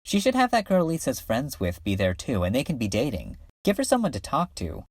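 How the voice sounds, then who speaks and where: A man's voice: medium pitch, wildly swooping pitch, a little fast, no room sound, clean studio sound. A man voicing a slangy, enthusiastic geek who rambles about fanfiction in a webcomic fan dub. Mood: eager. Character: casual slangy geek excitedly telling and discussing fanfiction. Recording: music under the voice.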